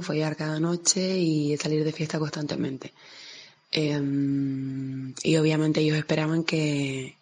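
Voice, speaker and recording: Low, even-voiced Canarian woman. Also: slightly rough. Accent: Canarian Spanish. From Canary Islands, Spain. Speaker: guest giving a recorded testimony. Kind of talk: reflective personal account.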